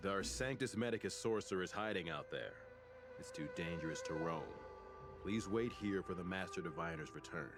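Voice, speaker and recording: An actor playing a dutiful soldier in a video game, speaking formally and earnestly. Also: male voice, sits low, normal speed, over music, natural voice. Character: anxious dutiful soldier on guard at a camp.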